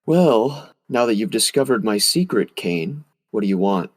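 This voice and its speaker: An actor playing a calm, menacing vigilante with a suave, formal manner, in old-time radio drama style. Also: male voice, fairly low pitch, measured pace, husky. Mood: polite, cool. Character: calm, menacing male crime-fighting vigilante, outwardly suave gentleman.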